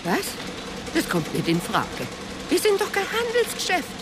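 A woman's voice: extremely high pitch, wildly swooping pitch, measured pace, heavy background noise, heavily acted. An actress playing a dainty, proper older village businesswoman with a southern German accent in a radio drama. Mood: indignant, admonishing. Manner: admonishing, plaintive.

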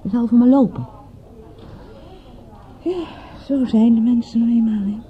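A woman's voice: a little high, a little slow, dull audio, close to an everyday voice. A woman playing a vulnerable, guarded suburbanite who talks in a confiding, self-deprecating way in a radio play. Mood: guarded, wounded. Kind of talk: radio play dialogue, naturalistic.

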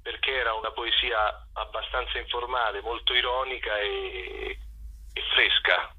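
A man's voice: fairly low pitch, steady tone, a little slow, breathy edge. A man being interviewed on radio over a phone line, talking reflectively and hesitantly as he thinks aloud. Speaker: poet and singer-songwriter guest.

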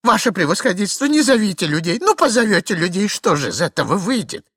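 A man's voice: high-pitched, very animated, fast talker, fairly bright tone, slightly rough, no room sound, studio quality, pitched above the performer's own voice, full character voice. A man voicing a flustered middle-aged husband in a story reading, babbling, pleading and correcting himself obsequiously. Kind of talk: theatrical literary reading.

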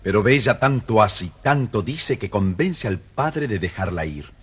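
A man with an Argentine Spanish accent narrating a children's story calmly on an old audio record. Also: on the low side, moderate pace, over music. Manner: calm, even storytelling.

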